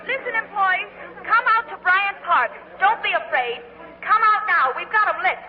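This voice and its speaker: A woman's voice: extremely high pitch, moderate pace. A woman playing a rousing young worker urgently calling out in a 1940s radio play, on a muffled, noisy recording. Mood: rallying, urgent. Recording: muffled noisy vintage radio, crowd behind.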